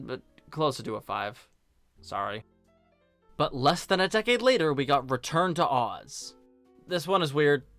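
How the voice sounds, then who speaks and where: A man hosting a film review video, narrating a scripted essay in a conversational way with wry, deadpan asides. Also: a little high, clean tone, no room sound.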